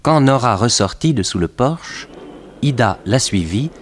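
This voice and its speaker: An actor playing a detective's composed sidekick, recounting the case as narrator of a 1960s French radio crime serial. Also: male voice, mid-range voice, expressive, a little hiss or hum, natural voice. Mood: composed narration. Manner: measured, storytelling.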